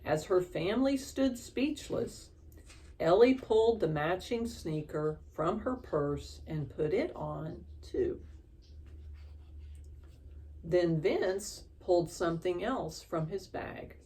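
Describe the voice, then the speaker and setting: A woman reading a children's storybook aloud as an expressive storyteller. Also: on the low side, takes it slowly.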